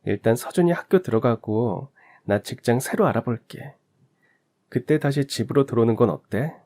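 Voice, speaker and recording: A man voicing a young wife and mother who talks softly and reasons gently. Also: medium pitch, expressive, moderate pace. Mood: persuasive.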